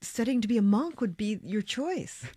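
A woman's voice: fairly high pitch, lively intonation, moderate pace, warm tone, no room sound, clean studio sound. A woman with an American accent hosting a radio interview, reading introductions and putting questions to a guest. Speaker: radio show host.

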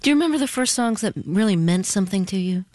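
An American woman speaks as an interviewer, in a mid-range voice. Her questions are measured, polite and articulate. The recording is dry and free of room echo. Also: medium pitch, expressive, a little mellow. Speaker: radio interviewer.

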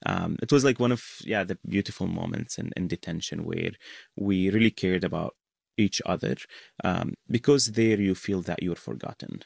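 A young man from Syria answering questions in a radio interview, talking in a halting way with many fillers. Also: sits low, gravelly, slightly rough, no echo, clean studio sound. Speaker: interview guest, author.

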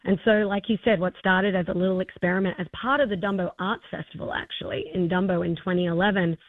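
A woman's voice: lowish voice, fairly even intonation, fast, no echo, narrow, tinny audio. A middle-aged woman with an Australian accent, speaking enthusiastically and effusively as a guest in a conversational interview. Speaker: interview guest, arts festival co-founder.

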